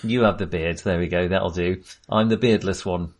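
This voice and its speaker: A man hosting a casual film discussion, halting, informal and self-deprecating. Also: deep voice, steady tone. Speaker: film podcast host.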